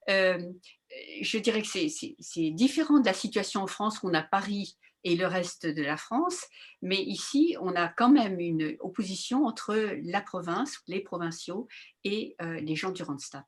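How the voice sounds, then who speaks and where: A woman leads an online group discussion at a middle pitch. She searches for her words as she goes, full of little hesitations and fillers. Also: animated, normal speed. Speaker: moderator.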